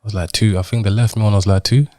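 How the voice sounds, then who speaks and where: Podcast guest: young man from Zimbabwe, low voice, casual British street slang, personal storytelling, dry sound. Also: steady tone, rapid-fire, a little mellow, no room sound. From Zimbabwe, moved to England in childhood. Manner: colloquial British street slang, frequent "you get me" tags.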